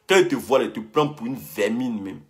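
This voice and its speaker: A man's voice: medium pitch, normal speed. A young man, ranting heatedly on a live stream and repeating himself as he answers a rival. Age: young adult. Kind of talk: casual live-stream rant.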